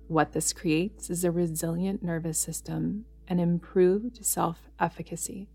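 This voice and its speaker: Slow, low-voiced woman guiding meditation. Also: fairly even intonation, creaky, husky. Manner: gentle, unhurried, soothing instruction with long pauses. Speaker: meditation guide. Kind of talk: guided yoga nidra meditation. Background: background music.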